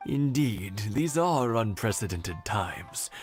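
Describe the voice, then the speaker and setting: A man voicing a courteous, kindly elderly customer in a video game, measured and polite. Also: on the low side, expressive, faint music behind.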